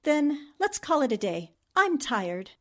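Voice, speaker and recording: A woman acts a plucky female newspaper columnist in an old-style radio drama. Her voice is high, and she talks in a brisk, authoritative way with a wry edge. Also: big pitch swings, heavily acted. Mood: weary, wry. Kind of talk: 1940s radio drama acting.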